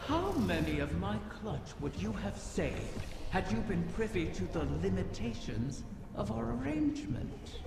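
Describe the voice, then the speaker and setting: A man's voice: high-pitched, sing-song, unhurried, gravelly, hardly any pauses, noisy background, heavily acted. A man playing an ancient, sly wish-granting dragon in a video game, speaking with theatrical, silky, mocking courtesy. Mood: smug, taunting. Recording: echoey, long reverb, music behind. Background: loud music.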